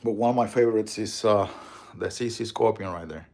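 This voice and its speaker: A man's voice: lowish voice, brisk, a little mellow. A man with a strong Puerto Rican accent hosting a casual livestream, rambling, swearing freely and answering viewer comments. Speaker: livestream host.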